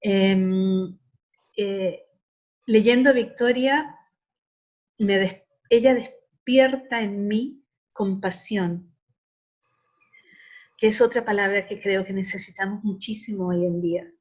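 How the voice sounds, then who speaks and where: A woman's voice: mid-range voice, slow, long pauses, a little mellow, dull audio. A middle-aged woman academic speaking reflectively in an interview, earnest and often repeating words as she searches for the right one.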